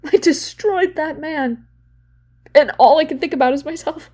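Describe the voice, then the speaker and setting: A woman's voice: high-pitched, moderate pace, mellow, close to an everyday voice. A young woman playing a guilt-ridden, bitter therapy patient in a confessional, self-loathing audio drama monologue. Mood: anguished, self-loathing. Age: young adult.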